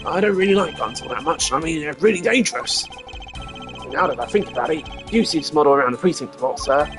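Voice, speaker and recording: A man's voice: a little high, lively intonation, fast, over music, voice pushed up, close to an everyday voice. A man voicing an eager, bumbling police detective who is folksy, enthusiastic and deferential, in a video game. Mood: earnest, sheepish. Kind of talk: video game character voice-over.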